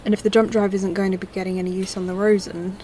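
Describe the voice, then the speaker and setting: A woman's voice: mid-range voice, flat delivery, fast, mellow, a little hiss or hum, lightly acted. A woman playing a plucky, plain-spoken young spacefarer in a science-fiction audio drama, direct and understated. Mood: hopeful.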